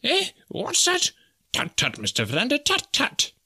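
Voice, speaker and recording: A man's voice: very high-pitched, huge pitch swings, a little slow, pushed and bright, husky, voice pushed up, full character voice. A man voicing a pompous, absent-minded old professor in an audiobook story, fussy and pedantic, repeating himself. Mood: puzzled, fussy. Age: older.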